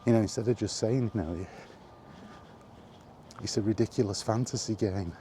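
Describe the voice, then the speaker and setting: A man's voice: lowish voice, normal speed, warm tone, a little hiss or hum. A man rambling casually in a weary, grumbling way, as in a freewheeling solo vlog. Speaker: vlogger. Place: walking while talking, ambient noise behind.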